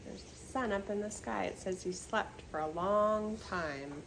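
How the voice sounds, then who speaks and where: A woman's voice: medium pitch, unhurried, vocal fry, slight background noise. An older woman reading a children's picture book aloud expressively, with chatty asides and questions to young listeners.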